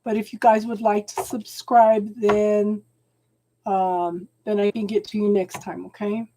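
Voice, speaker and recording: Slow, soothing woman losing her voice. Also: mid-range voice, level tone, noisy background. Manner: slow, soothing, keeps adding "okay?". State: losing her voice, clearing throat. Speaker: tarot reader. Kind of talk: live-stream tarot reading.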